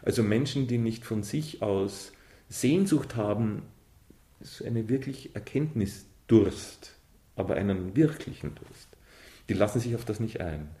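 A man's voice: fairly low pitch, expressive, measured pace, mellow. A man giving a reflective interview answer about literature, correcting himself as he searches for words. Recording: roomy, echoing sound.

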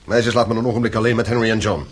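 A man's voice: fairly low pitch, little pitch movement, rapid-fire, a little hiss or hum, natural voice. An actor in a radio play playing a stern, domineering middle-aged father who owns a mine, commanding and reproachful. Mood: stern, businesslike. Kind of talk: Dutch radio play acting.

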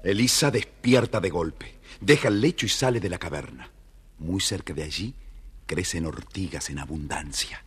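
A male narrator tells a children's tale in a low and notably husky voice. He is measured and dramatic, reading from a script. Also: expressive, faint music behind. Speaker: storyteller narrator.

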